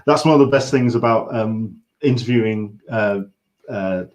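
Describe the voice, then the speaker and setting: An English man hosting a casual livestream interview, talking in a rambling, halting way and often interrupting himself. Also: on the low side, a little mellow. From England. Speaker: interview show host.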